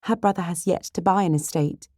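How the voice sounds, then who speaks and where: A woman's voice: lowish voice, fairly quick, dark tone, no room sound, studio quality, voice pushed up, lightly acted. A woman voicing an outspoken, impatient young sister in a period romance audiobook, with a blunt, emphatic delivery. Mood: matter-of-fact.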